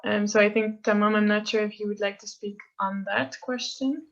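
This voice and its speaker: A woman's voice: mid-range voice, steady tone, normal speed. A woman moderating an online panel, reading out audience questions and handing them to speakers, recorded through muffled call audio. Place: online video conference.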